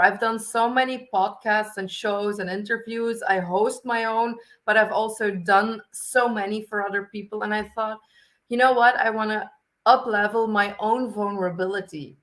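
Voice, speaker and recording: A woman's voice: mid-range voice, steady tone, moderate pace, a bit bright. A woman from Belgium, speaking as a guest on a podcast in an earnest, candid way. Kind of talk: casual interview talk.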